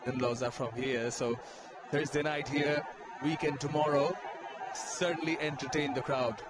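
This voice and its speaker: A man doing live cricket commentary, with his calls rising in excitement on big shots. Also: a little high, unhurried. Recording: loud stadium noise behind.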